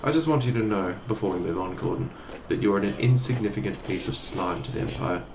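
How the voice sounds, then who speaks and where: A man acting a haughty, menacing Imperial officer in an audio drama, condescending and measured, with noisy ambience around him. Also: fairly low pitch, roomy sound, close to an everyday voice. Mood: scornful.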